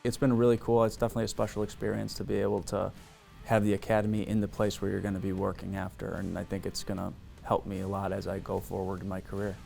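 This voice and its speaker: A man's voice: low-pitched, completely flat delivery, quick pace, gravelly, mellow, faint music behind. A man from a Chicago suburb, a police academy recruit, answering interview questions. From Riverside, Illinois.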